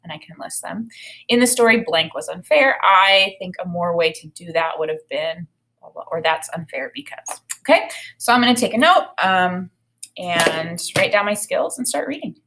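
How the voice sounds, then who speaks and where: A woman in her thirties with an American accent, teaching through an expressive read-aloud with spoken commentary on the story. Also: on the low side, normal speed, slight background noise. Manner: expressive reading with acted dialogue.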